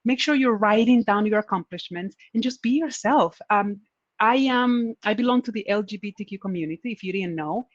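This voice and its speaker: A woman panelist answers audience questions with earnest encouragement, circling back to repeat her main points. Her mid-pitched voice arrives dry and slightly muffled over a video call. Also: brisk, dry recording. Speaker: panelist giving career advice. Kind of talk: conference Q&A answers.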